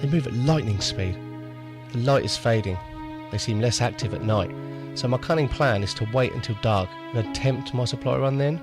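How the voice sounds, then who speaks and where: A man's voice: mid-range voice, normal speed, music in the background, lightly acted. A man playing a wry, understated survivor keeping a radio diary in an audio drama. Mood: wry, resigned. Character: wry male survivor and amateur radio host.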